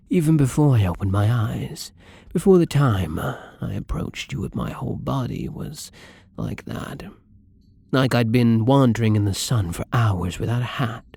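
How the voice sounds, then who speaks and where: A man playing a gentle, lonely, tormented vampire in an intimate ASMR roleplay, speaking softly and hesitantly in a breathy, brooding way, often near a whisper. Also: medium pitch, no echo, exaggerated voice. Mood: brooding, haunted. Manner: slow, hesitant, brooding, tender. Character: melancholy young male vampire, gentle, lonely, tormented, once human.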